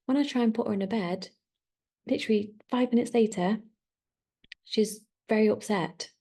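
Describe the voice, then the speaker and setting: Podcast host, woman, 30s, mellow and slightly gravelly, rambling solo chat. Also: medium pitch. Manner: chatty, rambling, self-correcting.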